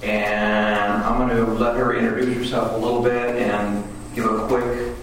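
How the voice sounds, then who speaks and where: A man chairing a public commission meeting, relaxed and procedural, with many ums and uhs. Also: medium pitch, measured pace. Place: council chamber. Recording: echoey room, distant mic, noisy.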